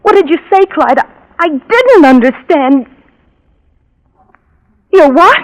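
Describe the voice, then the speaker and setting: A woman's voice: sits high, sing-song, takes it slowly, heavily acted, rough recording. An actress playing a desperate, obsessive, lovesick young woman in a 1940s radio drama, with a faltering, breathless, melodramatic delivery. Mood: pleading, shocked. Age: young adult. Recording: vintage 1940s radio recording.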